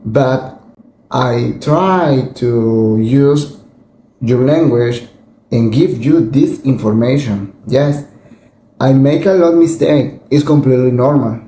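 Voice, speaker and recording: A Mexican man speaking English with a Spanish accent, slow and halting, with many fillers and restarts as he hunts for words. His voice is very dark and mellow. He is recorded in an echoey room with a faint hum behind him. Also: medium pitch. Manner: reassuring, often adds "believe me" and "yes?". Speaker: immigration video host. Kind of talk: casual advice talk to camera.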